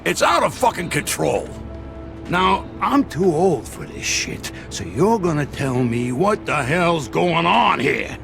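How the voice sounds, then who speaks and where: A man's voice: on the high side, big pitch swings, takes it slowly, vocal fry, over music, full character voice. A man playing an angry, aging Italian mafia boss in a video game cutscene, commanding and sardonic, with menace. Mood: angry, threatening. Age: older. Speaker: crime boss.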